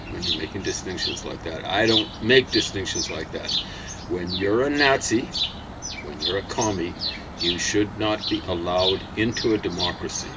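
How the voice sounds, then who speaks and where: A man speaking in a very low register, rambling and halting with plenty of fillers as he chats casually. Loud background noise and bird calls run under his voice. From Africa. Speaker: partner in a home vlog conversation. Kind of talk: casual opinionated chat.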